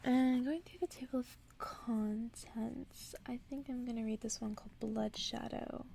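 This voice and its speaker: A woman ASMR host whispering softly and close to the microphone in a breathy voice, as if reading bedtime stories aloud. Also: fairly high pitch, steady tone, measured pace, a little hiss or hum. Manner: whispering, soft-spoken.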